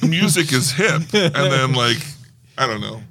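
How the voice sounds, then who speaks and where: A man's voice: medium pitch, big pitch swings, unhurried, pushed and bright, slight background noise. A man co-hosting a podcast in casual banter, riffing playfully and joking around. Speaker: podcast co-host.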